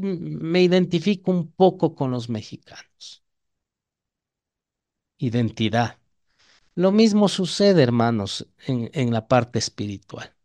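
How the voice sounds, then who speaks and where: A man's voice: on the high side, a little mellow. A man with an Ecuadorian Spanish accent preaching an evangelical sermon in a didactic way, often putting questions to his listeners.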